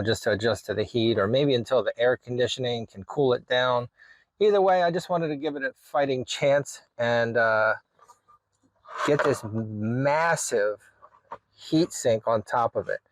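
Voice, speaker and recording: A man with a mid-pitched voice talks casually through a hands-on job for a vlog. He sounds informal, as if thinking aloud while he works. The sound is dry, with hardly any room echo. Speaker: video creator showing a DIY radio install.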